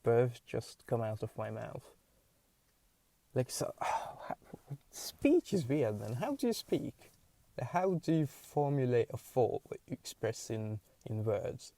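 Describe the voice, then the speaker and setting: A young man with a Hungarian accent, a podcast host thinking aloud in a halting, searching way with many restarts. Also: medium pitch, big pitch swings, dark tone, dry recording. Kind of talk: solo musing monologue.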